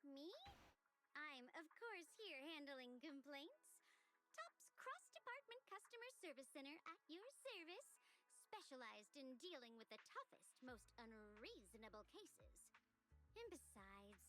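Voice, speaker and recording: A woman's voice: high-pitched, big pitch swings, a little slow, sharp tone, soft background music, exaggerated voice. A woman playing a breezy, teasing, casually opportunistic young customer service worker in a video game. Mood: breezy, playful. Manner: playful, teasing, theatrical.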